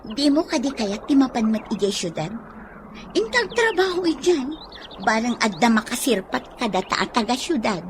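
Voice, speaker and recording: A woman's voice: a little high, big pitch swings, normal speed, noisy background. An actress in a radio drama playing a restless young rural woman eager for city life, with a Filipino accent.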